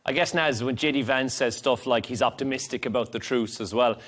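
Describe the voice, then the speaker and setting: A man's voice: medium pitch, a little fast, fairly bright tone. A man presenting television news and interviewing guests as a studio anchor. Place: broadcast TV studio.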